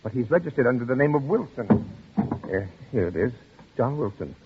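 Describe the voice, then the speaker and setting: An actor playing a suspicious, fussy hotel clerk in a radio drama, cautious and hesitant. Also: male voice, medium pitch, big pitch swings, fairly quick, music in the background. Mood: eager, hesitant. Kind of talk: old-time radio drama acting. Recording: muffled, noisy old radio recording.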